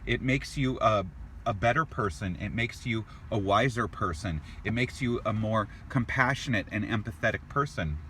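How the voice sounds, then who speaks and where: A man with a fairly low voice talks viewers through a tarot reading in a loose, casual way. He wanders, doubles back on his words and restarts phrases, and he reacts aloud as each card turns up. A faint hiss of noise sits under his voice. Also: normal speed, sharp tone. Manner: rambling, repeats and restarts words; exclaims at cards as he turns them.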